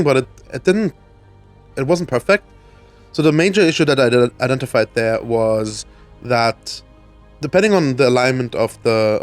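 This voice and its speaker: A man from Germany in his thirties talks through a building project in a video game, his voice raspy as he gets over a cold. He thinks aloud, restarting sentences and filling gaps with ums, at a mid pitch. Faint music plays underneath. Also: dry recording. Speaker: let's play host. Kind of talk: casual gameplay walkthrough.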